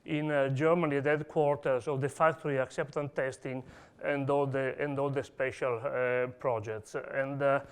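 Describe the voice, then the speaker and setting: A man with an Italian accent giving a technical conference talk, pausing often with fillers and restarts. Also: mid-range voice, flat delivery, smooth. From Italy. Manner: hesitant, many "uh" fillers and restarts. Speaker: conference speaker. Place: conference hall.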